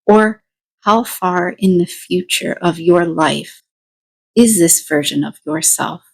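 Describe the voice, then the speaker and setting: A woman leading a guided meditation, giving deliberate instructions in short phrases with pauses between them. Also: lowish voice, animated, creaky, breathy edge.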